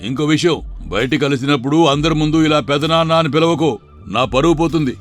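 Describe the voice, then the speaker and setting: A man's voice: fairly high pitch, brisk, soft background music, natural voice. Man playing a haughty, curt rich village uncle. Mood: scornful. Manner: curt, belittling, rustic talk. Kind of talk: dramatized moral story for video.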